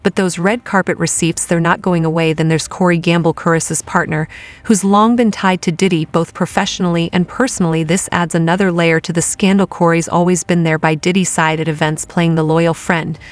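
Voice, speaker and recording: A woman's voice: on the low side, steady tone, brisk. A woman delivering scripted gossip narration in a dramatic, conversational way.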